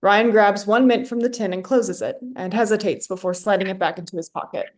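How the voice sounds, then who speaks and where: Woman reading stage directions, medium pitch. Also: fairly even intonation, a little fast. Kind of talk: scripted narration. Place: remote online staged reading.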